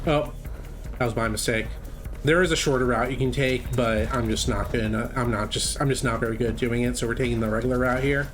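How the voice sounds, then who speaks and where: A man's voice: mid-range voice, fairly even intonation, a little fast. A man giving casual, informal live commentary as he speedruns a video game, thinking aloud. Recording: game music behind the voice. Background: video game music.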